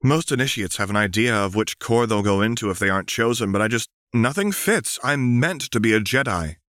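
A man's voice: on the low side, animated, a little fast, a bit bright, no room sound, clean studio sound, voice pushed up. A man voicing a frustrated teenage alien girl. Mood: frustrated, pleading. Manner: earnest, pleading, sour. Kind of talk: audiobook fan fiction reading.

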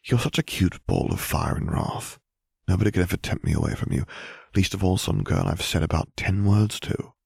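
An affectionate young man in a storybook, read by a male narrator. He speaks softly and reassuringly, placating whoever he talks to, in a very dry recording. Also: deep voice, moderate pace, vocal fry, husky, no room sound, heavily acted. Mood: tender. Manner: soft, reassuring.

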